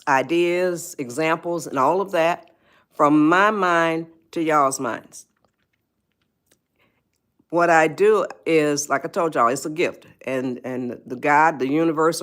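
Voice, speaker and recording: An 81-year-old woman, hosting a live stream and giving advice. She rambles, repeats herself and greets viewers by name. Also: deep voice. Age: 80s. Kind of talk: spontaneous livestream talk.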